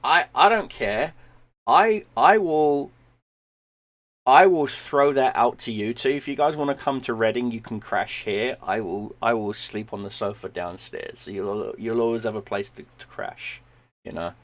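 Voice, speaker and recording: Brief: male streamer, hoarse voice, rambling friendly sign-off, mid pitch. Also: unhurried. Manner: rambling, repeats words, friendly. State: losing his voice, sinus infection. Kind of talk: casual stream sign-off chat. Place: at home.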